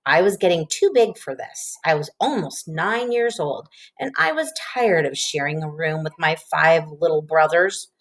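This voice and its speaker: A woman reading a children's storybook aloud in an expressive narrating style. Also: low voice, normal speed, few pauses. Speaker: storybook reader.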